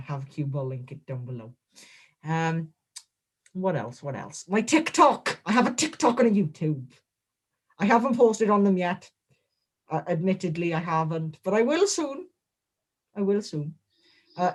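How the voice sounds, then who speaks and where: A man giving a comedic, in-character interview as a guest wrestler, deadpan, boastful and absurd. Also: sits high.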